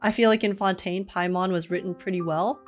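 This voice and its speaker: A woman's voice: lowish voice, fairly even intonation, a little fast. A woman live streamer giving casual, chatty, giggly commentary on a game. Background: game music.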